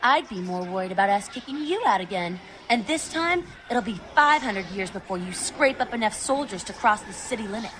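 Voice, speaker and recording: A woman's voice: medium pitch, animated, a little fast, hardly any pauses, noisy background, lightly acted. A young woman playing a brash, idealistic vampire rebel in a video game, blunt, sardonic and impassioned. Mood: heated, preachy. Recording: music behind the voice.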